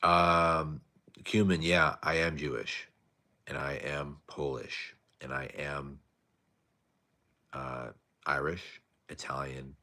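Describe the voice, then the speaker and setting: Young male streamer, very low soft voice, relaxed low-energy delivery. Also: slow pace, long pauses. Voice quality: soft-spoken. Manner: tired, low-energy, laid-back. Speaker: live stream host. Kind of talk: casual live stream chat reading viewer comments.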